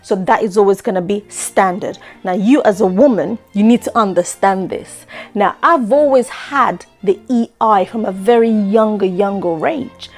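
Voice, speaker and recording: Middle-aged woman host, earnest life-coach talk, mid pitch, soft music bed. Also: normal speed. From Democratic Republic of Congo. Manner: earnest, repeats words, frequent "you know". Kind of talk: talk-show monologue.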